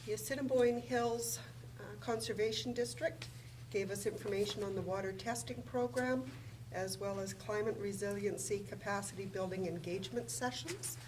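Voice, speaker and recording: A woman administrator reading formal council resolutions and figures aloud in a measured way in a council chamber. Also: medium pitch. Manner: measured, reads figures carefully. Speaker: municipal administrator. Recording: distant mic with room noise.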